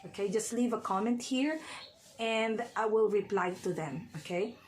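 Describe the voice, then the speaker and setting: Hoarse female tutor, mid-pitched, chatty vlog delivery with frequent check-ins, faint room noise behind. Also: normal speed, poor audio. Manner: chatty, often checks in with "okay?". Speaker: reading tutor. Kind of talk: casual vlog talk to viewers.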